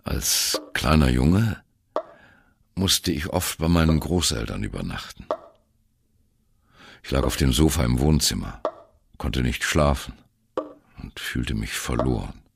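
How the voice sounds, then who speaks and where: Old-school jazz musician telling his life story, super low creaky voice, wry n self-deprecating, radio play. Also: animated, a little slow, long pauses, heavily acted. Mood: reflective. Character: male jazz musician wryly recalling his youth. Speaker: narrator of an audio drama. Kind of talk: first-person radio play monologue, storytelling.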